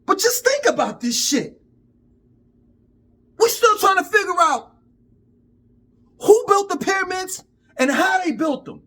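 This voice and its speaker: A man's voice: very high voice, sing-song, unhurried, long pauses, bright, forceful tone. A man in his fifties giving an emphatic, profane, preachy rant to camera in street talk. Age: 50s. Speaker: vlogger.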